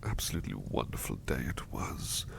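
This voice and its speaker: A man playing a gentle, devoted butler in a soft ASMR roleplay, bashful and effusively polite, repeating his phrases in a halting, hushed way. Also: a bass voice, very animated, gravelly, noisy background, exaggerated voice. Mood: fond, grateful. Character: gentle devoted male butler, bashful, eager to please, doting.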